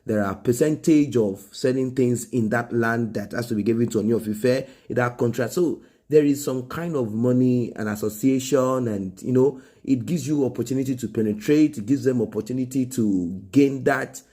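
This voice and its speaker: A man's voice: medium pitch, normal speed, few pauses, dark tone. A man with a Nigerian accent hosting an informal gossip commentary, explaining conversationally and repeating phrases for emphasis. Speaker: gossip show host.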